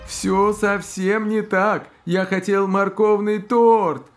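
A man's voice: high voice, little pitch movement, slow, over music. A man voicing an eager, impatient little cartoon cook with childlike, exclamatory delivery for a children's show. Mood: determined, puzzled. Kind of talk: animated children's cartoon dialogue.

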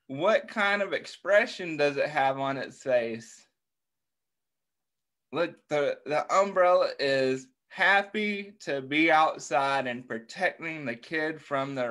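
Slow-talking American story time man. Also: a little high, fairly bright tone. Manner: encouraging, asking questions and praising listeners. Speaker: children's story time host. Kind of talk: interactive picture book read-aloud for young children. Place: indoors on a video call.

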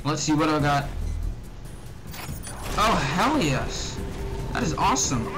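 A young man giving casual, excitable, grumbling let's play commentary on a video game. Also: fairly high pitch, slow pace, music in the background. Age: teens or young adult. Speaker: gaming video host.

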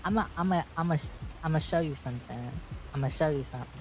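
A man's voice: fairly high pitch, fast talker, noisy background. A man roleplaying a laid-back character in a game, talking casually and often repeating himself. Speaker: roleplay player.

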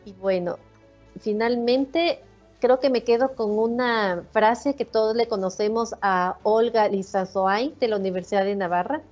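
Middle-aged woman, mellow tone, steady formal presentation, muffled lo-fi audio. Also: fairly high pitch, soft background music. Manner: measured, reads points in order. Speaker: national coordinator of hospital classrooms. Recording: dull lo-fi video call audio.

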